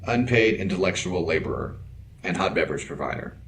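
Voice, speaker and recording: Casting: a deep, deadpan sci-fi bodyguard, clipped and formal, with widely swinging inflection, over noisy ambience. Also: male voice, a little fast. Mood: dry disdain. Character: calm, dry, capable bodyguard ally, terse and protective. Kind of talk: audio drama acting.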